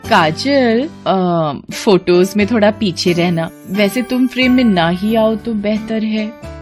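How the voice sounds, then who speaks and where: A woman's voice: mid-range voice, slow pace, faint music behind, lightly acted. A woman voicing a vain, scheming elder daughter-in-law in a cartoon family melodrama, with a theatrical, wheedling manner. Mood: haughty.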